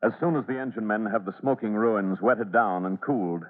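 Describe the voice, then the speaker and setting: A man's voice: on the low side, brisk. A man giving scripted narration in an old-time radio drama. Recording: reverberant narrow-band old radio broadcast.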